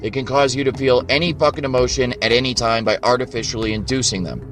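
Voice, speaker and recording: A man's voice: medium pitch, steady tone, quick pace, fairly bright tone, soft background music. Man ranting urgently, swearing for emphasis. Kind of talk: impassioned rant.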